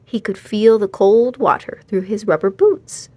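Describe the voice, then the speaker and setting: A woman narrating a children's storybook aloud in an expressive read-aloud style. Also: medium pitch, unhurried, slightly rough.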